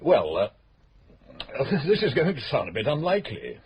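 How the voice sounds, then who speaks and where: An actor playing a nervous, evasive middle-aged widower under questioning in a radio drama, hesitant and flustered. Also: male voice, medium pitch, huge pitch swings, moderate pace, slight background noise.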